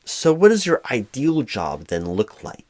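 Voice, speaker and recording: A man playing a flustered, hapless corporate recruiting manager in a one-sided spoken roleplay, hesitant and stammering, with an awkwardly polite, monotonous delivery. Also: medium pitch, unhurried. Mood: politely awkward. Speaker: job interviewer.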